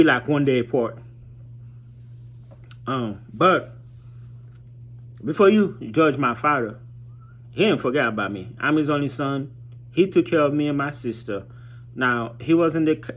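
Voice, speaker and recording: Halting man telling his story. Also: medium pitch. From Haiti. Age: 30s. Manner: halting, reflective, searching for words. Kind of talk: informal personal storytelling.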